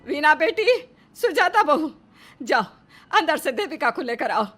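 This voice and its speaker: A woman's voice: high-pitched, very animated, unhurried, a bit bright, faint music behind, heavily acted. A woman playing a defiant, protective middle-aged mother-in-law in a Hindi cartoon melodrama, speaking firmly and emphatically. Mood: commanding.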